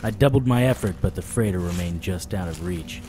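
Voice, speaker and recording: A man's voice: low voice, a little fast, natural voice. An actor playing a sardonic vampire-hunting private eye, telling his story in a dramatic noir audio drama style, over music. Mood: tense. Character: hard-boiled, wisecracking male vampire-hunting private eye. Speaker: narrator and lead actor. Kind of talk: first-person noir audio drama narration. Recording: music bed under the voice.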